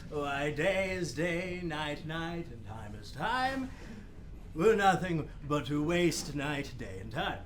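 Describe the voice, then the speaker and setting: An actor playing a fussy, long-winded old royal counselor in a Shakespearean radio play, rambling and interrupting himself theatrically. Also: male voice, high-pitched, fairly bright tone, a little hiss or hum, heavily acted.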